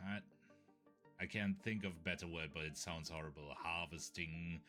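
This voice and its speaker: A man with a German accent co-hosting a podcast in casual chat, often pausing to search for words. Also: sits low, steady tone, bright, forceful tone, soft background music. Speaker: podcast co-host.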